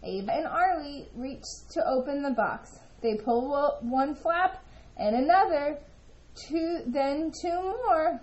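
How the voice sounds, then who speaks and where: A woman reading a children's story aloud in an expressive, animated way, acting out the dialogue. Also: sits high, slow, muffled recording. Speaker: storybook reader.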